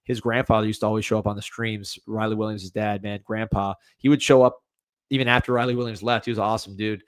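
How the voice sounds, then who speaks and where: A man's voice: on the low side, flat delivery, quick pace, dry recording. A young adult man with a light Cuban-American accent hosting a casual livestreamed sports podcast, rambling with restarts and a lot of "man.". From United States. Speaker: podcast host.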